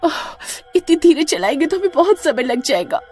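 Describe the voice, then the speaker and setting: Woman voicing pregnant village wife in a cartoon story, high voice, moaning and pleading in pain, music behind. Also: sing-song, normal speed, darkish tone. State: in pain, acted.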